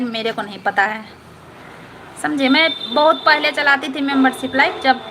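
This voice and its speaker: A woman's voice: high-pitched, rough recording. A woman from Patna, chatting casually with viewers on a live stream, repeating herself and checking that they understood. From Patna, Bihar. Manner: chatty, repetitive, keeps asking "understood?". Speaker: live-stream host answering viewer comments.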